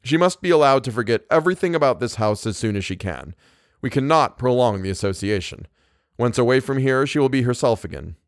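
A man voicing a gentle, hesitant, apologetic older doctor in an audiobook. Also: mid-range voice, lively intonation, a little fast, dry recording, studio quality, close to an everyday voice. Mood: grave, careful. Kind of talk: audiobook character voice.

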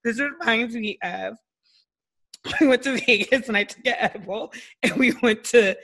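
A woman in her thirties chats as a podcast co-host. Her voice sits a little high with a slight hoarseness. She is giddy and joking, in a loose conversational style. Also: moderate pace, fairly bright tone. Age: 30s. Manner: giddy, giggly. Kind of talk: casual, joking podcast chat. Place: remote video call.

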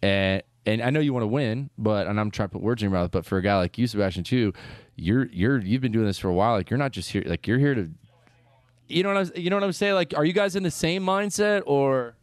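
A man's voice: medium pitch, fast talker, dry recording. A man hosting a casual golf interview, rambling with many restarts and fillers. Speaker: golf podcast host.

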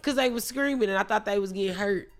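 A woman comedian talking bluntly and laughing often as a podcast guest; she says her voice is deep. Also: fast talker, soft background music. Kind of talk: candid podcast chat.

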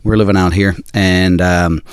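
A middle-aged man from South Africa with a very low voice, telling a story in a loose, rambling way full of "uh" and "you know". He is recorded close up in a dry room. He speaks as a guest on a podcast. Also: fairly even intonation, moderate pace, smooth, no echo. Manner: rambling, many fillers, laughs easily. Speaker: off-road shop owner, podcast guest. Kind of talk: casual storytelling chat.

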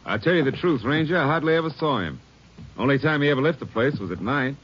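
A man's voice: mid-range voice, lively intonation, quick pace, close to an everyday voice. A man playing a stammering folksy landlord. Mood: gossipy. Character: rambling small-town male landlord, folksy. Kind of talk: 1950s radio acting. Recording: dull old radio recording, faint hiss.

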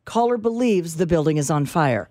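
A woman's voice: deep voice, animated, normal speed, mellow, dry recording. A woman co-hosting a radio show who reads police scanner reports in a dry, matter-of-fact dispatcher style between bits of banter. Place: radio studio. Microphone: studio microphone.

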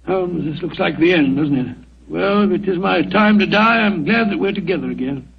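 An actor playing a flustered, loyal middle-aged English gentleman who stammers and blusters in a 1940s radio drama. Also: sits high, normal speed, vocal fry, crowd noise. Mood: glum, resigned. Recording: dull, noisy 1940s radio recording.

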